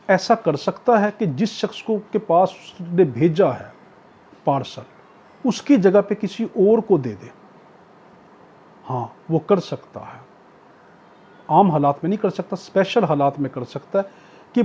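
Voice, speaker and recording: Male lecturer, Pakistani accent, high mellow voice, explanatory and repetitive, recorded on dull lo-fi audio. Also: breathy edge. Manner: explanatory, repetitive, often checking with "okay". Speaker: law lecturer. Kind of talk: exam-prep law lecture with worked examples.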